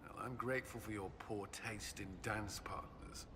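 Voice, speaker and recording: An actor playing an earnest, haunted military commander and former knight who is tender in love, in a video game cutscene. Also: male voice, fairly low pitch, steady tone, lightly acted. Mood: wry, warm. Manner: halting and hesitant when speaking personally. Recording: long reverb, background noise.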